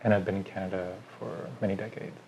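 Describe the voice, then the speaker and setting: A middle-aged man from Chile, an artist, speaking reflectively in an interview, pausing often to gather his thoughts. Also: sits low, little pitch movement, a little mellow, slight background noise, low-quality recording. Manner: thoughtful, pauses with fillers, lists ideas.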